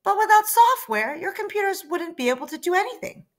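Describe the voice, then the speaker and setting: Lady doing a tiny cute app character for a kids story, perky n eager, squeaky high. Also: sing-song, brisk. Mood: chirpy. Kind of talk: children's storybook read-aloud.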